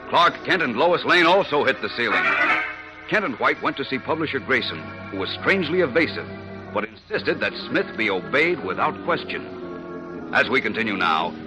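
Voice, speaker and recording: A man's voice: mid-range voice, lightly acted, poor audio. A man narrating a 1940s radio adventure serial as its dramatic story announcer. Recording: old radio broadcast with music. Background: music.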